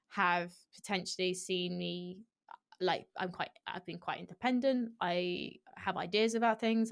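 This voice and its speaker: A young woman esports host, a guest on a video call, talking candidly and animatedly through a headset. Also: medium pitch. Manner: candid, animated, quick with restarts and fillers. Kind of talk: casual interview chat. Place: small room at home.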